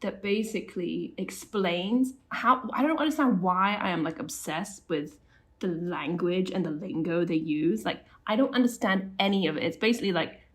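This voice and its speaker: A woman's voice: on the low side, normal speed. A woman in casual podcast chat, rambling and going off on tangents. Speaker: podcast co-host.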